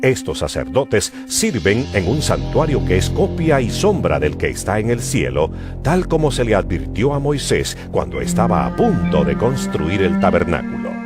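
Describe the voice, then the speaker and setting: Deep-voiced, very animated male narrator. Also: normal speed, few pauses. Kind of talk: dramatized scripture narration. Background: music.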